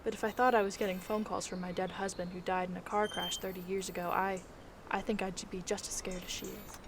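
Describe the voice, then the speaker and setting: A woman's voice: mid-range voice, flat delivery, a little fast, noisy background, lightly acted. A woman playing a concerned grown daughter with an earnest, conversational manner in an audio drama. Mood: reflective. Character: concerned grown daughter recalling her late father. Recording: clear background ambience.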